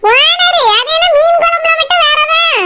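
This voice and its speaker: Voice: extremely high pitch, normal speed, over loud music. A performer voicing a nosy, teasing village woman in a cartoon comedy, pestering insistently in Kanyakumari Tamil.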